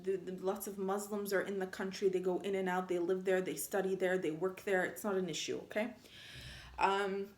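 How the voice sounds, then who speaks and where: A woman's voice: medium pitch, flat delivery, moderate pace. Earnest Armenian-born woman in an echoey room. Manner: earnest, keeps checking in with "okay?". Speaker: travel vlogger. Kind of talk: casual direct-to-camera monologue. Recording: roomy echo.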